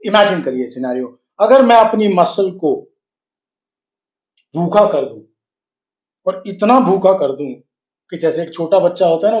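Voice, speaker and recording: A man with a fairly high voice gives health coaching in Hindi, slipping into English phrases and asking rhetorical questions along the way. The sound is roomy and slightly muffled, like a lo-fi recording in an echoey room. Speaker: fitness and wellness coach. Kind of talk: health advice talk to camera. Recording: roomy echo, dull lo-fi sound.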